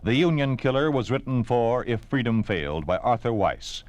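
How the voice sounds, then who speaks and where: A man's voice: mid-range voice, very animated, normal speed, darkish tone, no room sound. An American man announcing from a script in formal, solemn, emphatic 1950s radio style. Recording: hissy, noisy 1950s radio recording.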